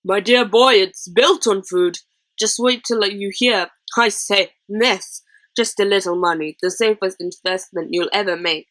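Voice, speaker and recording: A woman's voice: mid-range voice, measured pace, a bit bright, no echo, close to an everyday voice, poor audio. Woman as a jaunty, scheming pirate chief. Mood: boastful, eager. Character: eager, silver-tongued male pirate chief with endless schemes.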